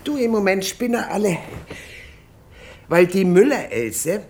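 Lively southern German country policewoman. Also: mid-range voice, measured pace. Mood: chatty, gossipy. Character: older country policewoman, chatty, blunt, indignant aunt. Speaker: actor in radio crime drama. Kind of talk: naturalistic radio drama acting.

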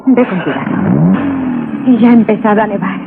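A woman's voice: medium pitch, a little fast, very noisy, close to an everyday voice. An actress playing an anxious, fretful wife and mother in a radio drama, with an accent from Spain. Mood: worried. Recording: narrow-band old radio recording.

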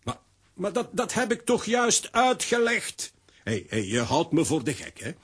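A man playing an excitable, vain but soft-hearted comic hero in a children's audio drama, blustering and theatrical. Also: high-pitched, measured pace, husky, full character voice. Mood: indignant, blustering.

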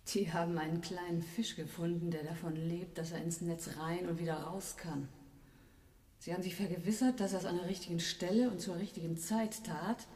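An actress in a German radio drama playing a shrewd, sober woman in a crime crew, speaking deliberately. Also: fairly low pitch, steady tone, darkish tone, reverberant, distant mic, close to an everyday voice. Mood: grimly explaining.

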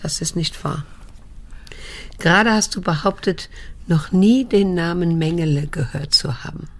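An actress playing a troubled, restrained adult daughter in a radio drama, speaking in a controlled, grave and insistent way. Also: female voice, fairly low pitch, a little slow, fairly bright tone. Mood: firm, reproachful. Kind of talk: radio drama acting with introspective narration.

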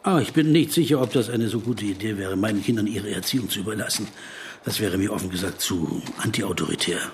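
An actor playing a polite, self-conscious writer in a German radio play, probing hesitantly and adding dry, ironic asides. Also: male voice, fairly low pitch, quick pace, slight background noise, natural voice. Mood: probing, self-mocking. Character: wry, polite, self-conscious male writer interviewing a celebrity. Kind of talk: German radio play dialogue and inner narration.

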